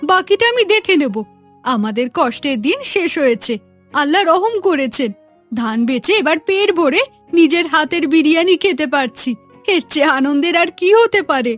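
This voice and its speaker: A woman playing a devout, contented village mother in a fairy-tale cartoon, expressive and heartfelt. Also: high-pitched, moderate pace, soft background music.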